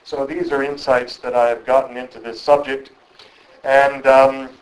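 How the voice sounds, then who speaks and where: Middle-aged male lecturer, mid-pitched, thoughtful and deliberate, reflective talk with filler pauses. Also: flat delivery, poor audio. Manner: measured, thoughtful, with frequent um and uh.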